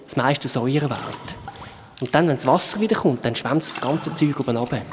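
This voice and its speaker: A man's voice: medium pitch, a little fast, background noise, lightly acted. A man with a Swiss German accent playing a friendly shape-shifting creature from another world who patiently explains things in a children's radio play. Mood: kind, explaining.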